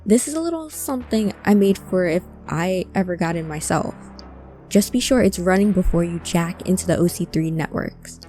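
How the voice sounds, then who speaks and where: A woman acting an earnest, emotional hacker searching for a lost friend in a cyberpunk audio drama, with halting delivery. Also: on the low side, lively intonation, warm tone, soft background music, close to an everyday voice. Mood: pleading, determined. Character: distressed, determined hacker woman seeking her lost friend.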